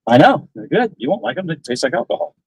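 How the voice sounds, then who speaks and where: Guy ribbing his fishing buddy, voice jumps all over, fairly high, dry close sound. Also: sing-song, fast, breathy edge, no echo. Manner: teasing, joking. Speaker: fishing companion. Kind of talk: casual chat while fishing. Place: outdoors on a pontoon boat on a lake.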